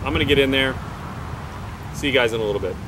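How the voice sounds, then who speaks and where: A man's voice: mid-range voice, fast. A young man in his twenties talking casually to a handheld camera outdoors, easygoing and rambling with plenty of fillers. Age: 20s. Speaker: fitness vlogger. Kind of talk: casual vlog talk to camera. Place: outdoors by a river and on a lawn. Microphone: handheld camera at arm's length. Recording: outdoor noise behind the voice.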